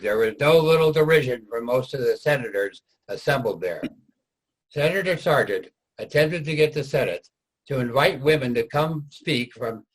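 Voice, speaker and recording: A man with a slightly husky voice narrating a scripted readers' theater piece. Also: medium pitch, low-quality recording.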